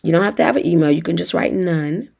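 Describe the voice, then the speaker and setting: A young woman with a hoarse, raspy voice from feeling unwell, walking viewers through a form in a chatty tutorial. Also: fast, a little mellow. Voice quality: raspy, deeper than usual. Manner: chatty, talks straight to viewers. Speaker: notary tutorial host. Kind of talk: casual tutorial walkthrough.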